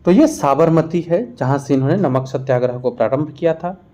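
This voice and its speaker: A man's voice: a little high, lively intonation, fairly quick, mellow. A man teaching an exam revision lesson, reading out questions and explaining each answer. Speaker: teacher for competitive exam preparation.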